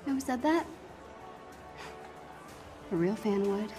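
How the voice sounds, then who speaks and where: A young woman with an American accent playing an earnest, awestruck teenage superhero fan in a video game, excitable and halting when nervous. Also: mid-range voice. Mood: defiant. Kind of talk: cinematic video game acting. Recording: music behind the voice.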